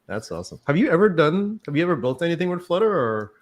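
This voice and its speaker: A man's voice: on the high side, sing-song, fast talker, a loud crowd. A man hosting a casual developer livestream, upbeat and welcoming as he introduces his guests. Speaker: livestream host, developer advocate.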